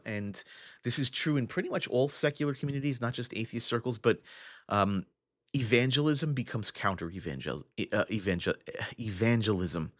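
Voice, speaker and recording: A man's voice: mid-range voice, a bit bright, dry recording, clean studio sound. A young adult man hosting a casual livestream, thinking aloud with frequent restarts and fillers as he answers his chat. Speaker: livestream host. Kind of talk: casual livestream chat reading viewer comments.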